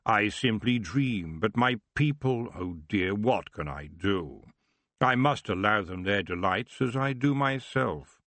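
A man's voice: deep voice, lively intonation, slow pace, a bit bright, dry recording, full character voice. A man voicing a lazy, lecherous, seductive elf king for an audiobook, drawling and condescending. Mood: amused, languid. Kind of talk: audiobook character voice.

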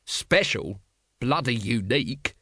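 A weary, sardonic police captain played by a man, with a mid-pitched voice that swings widely. He is curt and exasperated, the put-upon boss of a comic storybook. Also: animated, slow, sharp tone, slightly rough, exaggerated voice.

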